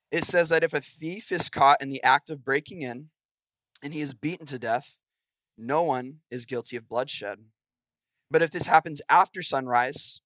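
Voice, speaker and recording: Young guest preacher, scratchy from a cold, earnest sermon delivery, dry recording. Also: male voice, mid-range voice, a bit bright, dry recording.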